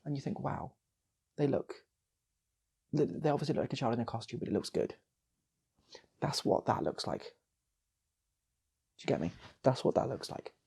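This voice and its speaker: A man improvises a comic tale in a loose, repetitive ramble. His voice is very husky and breathy with some fry, and his pitch moves around in a lively way. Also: normal speed, pauses often. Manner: rambling, repeats phrases for effect. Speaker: storyteller and channel host. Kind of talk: loose improvised comic storytelling, acting out characters.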